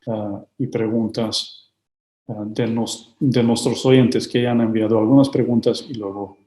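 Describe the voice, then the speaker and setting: Slow, hesitant man in an echoey room. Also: on the low side, steady tone, mellow. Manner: hesitant, frequent filler sounds. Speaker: host of a book launch. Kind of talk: formal introduction of speakers. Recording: roomy echo.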